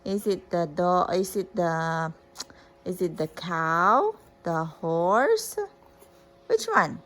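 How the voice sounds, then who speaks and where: A woman's voice: fairly low pitch, expressive, very slow, fairly bright tone. A woman reading a children's book aloud as a mother to a young child, in a sing-song, coaxing way with simple questions. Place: at home.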